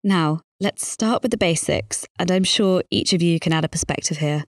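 A woman's voice: fairly low pitch, moderate pace, no echo, studio quality. A woman hosting a podcast, delivering a scripted introduction and interview questions. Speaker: podcast host.

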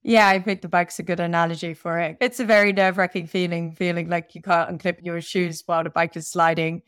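A young woman from the Netherlands, a podcast guest speaking candidly and laughing at herself in a relaxed interview. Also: on the low side, brisk, clean tone, no echo, studio quality. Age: young adult. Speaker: podcast guest, growth advisor. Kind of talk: conversational interview.

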